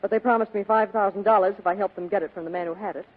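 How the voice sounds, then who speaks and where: A woman's voice: medium pitch, fairly even intonation, rapid-fire, close to an everyday voice. A woman playing an evasive, pleading femme fatale and practiced liar in a 1940s radio drama. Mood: evasive, explaining. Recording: tinny muffled 1940s radio broadcast.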